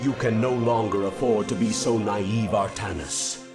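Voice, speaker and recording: An actor playing a proud, bitter alien judge in a video game, declaiming pompously. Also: male voice, fairly low pitch, a little slow. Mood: defiant. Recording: loud music behind the voice. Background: loud game music.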